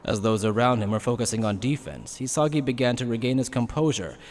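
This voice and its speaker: A man narrates a dramatic action tale from a script. His voice is medium in pitch for a man. A long reverb hangs around every phrase, as in a large hall. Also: steady tone, brisk, a little mellow.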